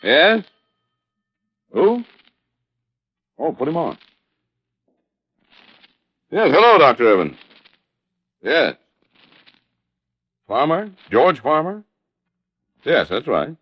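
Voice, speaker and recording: Radio office official, low animated voice, polite, matter-of-fact, dull vintage recording. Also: male voice, drawn-out words, long pauses, slightly rough, dry recording, full character voice. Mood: hurried, attentive. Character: businesslike male insurance office official. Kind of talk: 1940s-50s radio drama acting.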